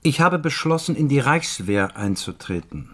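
A man's voice: medium pitch, lively intonation, moderate pace, fairly bright tone. An actor playing a stubborn, principled male philosophy student in a radio drama, formal and resolute.

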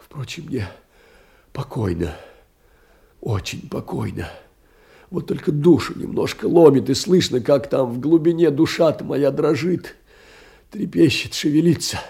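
An actor playing a meek, tender poor clerk in an audio play, with a husky voice and an emotional, hesitant delivery. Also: male voice, fairly high pitch, lively intonation, measured pace, long pauses, warm tone, heavily acted. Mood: trembling, subdued. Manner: emotional, trembling, hesitant, repeating himself. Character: meek, tender, agitated poor clerk pouring out his heart. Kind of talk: theatrical monologue in an audio play.

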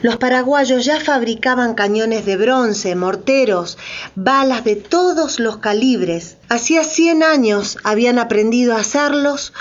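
Measured, higher-pitched woman narrator. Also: dull audio. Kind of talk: scripted dramatic documentary narration.

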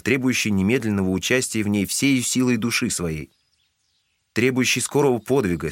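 A man with a fairly low voice narrates classic literature for an audio drama. His delivery is scripted storytelling, in the role of narrator. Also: no room sound.

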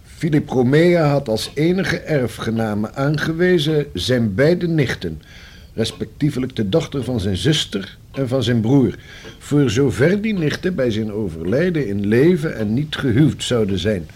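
Formal, slightly husky male notary. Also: medium pitch, expressive, measured pace, a little mellow. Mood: formal, measured. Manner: formal, precise, pedantic legal phrasing. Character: formal, discreet, long-winded male notary explaining a will. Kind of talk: Dutch radio play acting.

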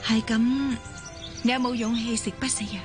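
A woman's voice: fairly high pitch, level tone, moderate pace, close to an everyday voice. An actress with a Hong Kong Cantonese accent playing a devoted, persuasive woman in love in a radio drama. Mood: tenderly persuasive. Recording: music behind the voice.

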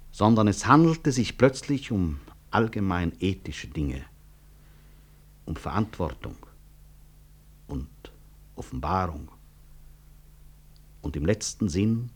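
An actor playing a weary, proud physician-professor in a 1960s German radio drama, husky, reflective and articulate, with wry self-mockery. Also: male voice, deep voice, heavily acted. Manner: reflective, articulate, deliberate stage delivery.

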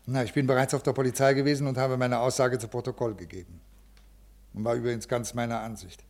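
An actor playing a brusque, uneasy senior official with a halting, officious manner in a 1950s radio drama. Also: male voice, mid-range voice, steady tone, darkish tone, close to an everyday voice. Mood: deferential, uneasy. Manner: officious, halting, irritable with subordinates. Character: brusque, uneasy male senior bureaucrat, troubled by conscience. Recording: old 1950s radio recording.

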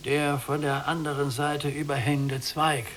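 An actor playing a weary, withdrawn old blind prisoner in a literary radio play, terse and resigned. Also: male voice, fairly high pitch, level tone, measured pace, fairly bright tone, slight background noise. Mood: insistent. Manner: terse, resigned, demanding questions.

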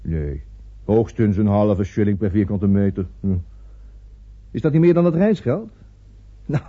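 An actor playing a grasping, sly estate agent in a radio play, hedging with slick one-sided telephone patter. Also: male voice, medium pitch, dark tone, breathy edge. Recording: dull, muffled old radio recording.

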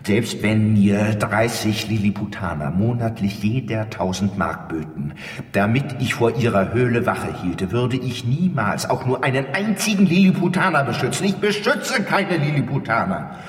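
An actor playing a proud, scornful middle-aged bodyguard in a radio drama, blunt and declamatory in delivery. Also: male voice, fairly low pitch, lively intonation, moderate pace, lightly acted. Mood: indignant, emphatic. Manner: blunt, emphatic, declamatory, mocking. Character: gruff, scornful broad-shouldered professional bodyguard, proud and contemptuous. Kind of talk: theatrical radio drama dialogue. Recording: echoey hall, distant from the mic.

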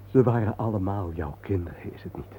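A man's voice: deep voice, a little fast, close to an everyday voice. An actor playing an intense, soul-searching man, earnest and declamatory in a poetic radio drama. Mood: reverent, sombre. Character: intense, soul-searching man lost among the war dead. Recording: muffled, noisy old radio play recording.

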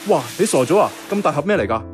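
An actor playing a self-effacing young bank worker in a radio drama, speaking casual, colloquial Hong Kong Cantonese. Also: male voice, on the high side, very animated, racing. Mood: teasing, coaxing. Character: soft-hearted, self-effacing young male bank worker, secretly devoted. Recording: music playing behind the voice.

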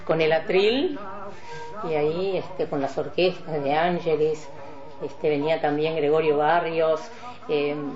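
An older Uruguayan woman speaks in a low voice, recalling her years as a radio announcer. She talks haltingly and rambles from one memory to another, with faint music behind her. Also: dull audio. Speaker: veteran radio announcer being interviewed. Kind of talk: reminiscing in a radio interview.

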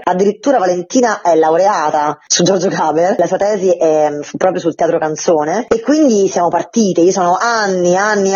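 Female interview guest, actress, husky conversational voice, articulate and expansive, dull lo-fi recording. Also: fairly low pitch, a little mellow. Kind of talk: conversational interview, explaining her work.

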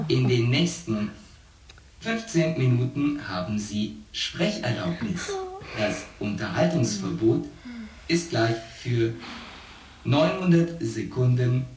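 A performer in a radio drama playing a formal, pedantic onboard AI computer co-pilot that makes measured announcements. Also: medium pitch. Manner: formal, slow, equation-like announcements. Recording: echoey, distant, music behind.

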